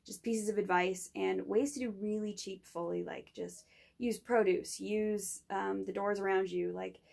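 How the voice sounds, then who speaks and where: Young woman, student, strong vocal fry, chatty and rambling. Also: medium pitch, steady tone, a little slow, a little mellow. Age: young adult. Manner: rambling, with many fillers and restarts. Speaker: student pitching a project. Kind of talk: casual conversation.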